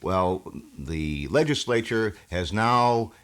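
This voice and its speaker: A middle-aged man explaining things in a measured, slightly formal way on a 1970s radio talk show. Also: on the low side, expressive, a bit bright. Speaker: radio station host being interviewed. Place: radio studio. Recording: 1970s radio broadcast recording.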